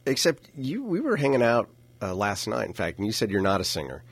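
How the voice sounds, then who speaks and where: A man hosting a casual radio interview, playful and quick to laugh. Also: fairly low pitch, wildly swooping pitch, breathy edge, no echo. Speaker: radio show host.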